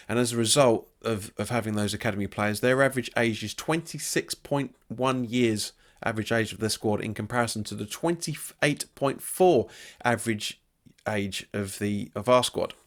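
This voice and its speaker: A man hosting his football fan channel, talking, sounding nasal from a cold and often repeating and restarting phrases. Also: medium pitch. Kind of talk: casual football preview monologue.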